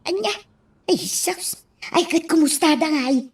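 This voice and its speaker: Very high-pitched excitable woman. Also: moderate pace. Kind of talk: amateur radio drama acting.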